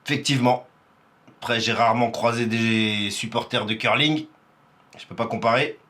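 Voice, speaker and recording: A man's voice: mid-range voice, fairly even intonation, normal speed, bright, forceful tone. A man from Paris giving live reaction commentary on a rap battle, exclamatory and teasing. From Paris, France.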